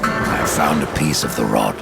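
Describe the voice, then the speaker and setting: Gravelly, abyssally deep grim horseman. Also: normal speed, heavily acted. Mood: grim, measured. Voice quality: gravelly, rumbling. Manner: dry, terse, menacing. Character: grim Pale Rider, ancient male supernatural horseman, sardonic. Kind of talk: dramatic video game acting. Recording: loud music and storm rumble behind.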